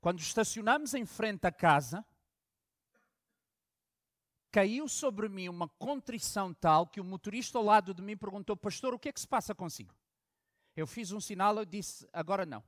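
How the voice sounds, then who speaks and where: A pastor from Angola preaches from a story, booming on key words and then dropping to hushed asides. The sound is close and dry, with no room around him. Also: male voice, lively intonation, normal speed, long pauses, dry recording. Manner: storytelling preacher with dramatic swings from loud emphasis to hushed asides. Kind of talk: sermon.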